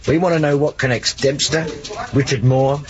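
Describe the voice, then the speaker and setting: A man's voice: mid-range voice, fairly even intonation, normal speed, natural voice. A man playing a dry, brusque police inspector in a 1970s radio drama, with a blunt, informal manner. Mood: dry, sardonic. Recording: dull lo-fi 1970s radio recording.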